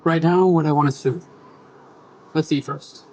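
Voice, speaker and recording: An actor playing a reserved, brooding doctor in an audio series, speaking in a terse, guarded way. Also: male voice, fairly high pitch, fairly even intonation, normal speed, warm tone, a little hiss or hum, natural voice. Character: reserved, brooding male doctor with stormy moods.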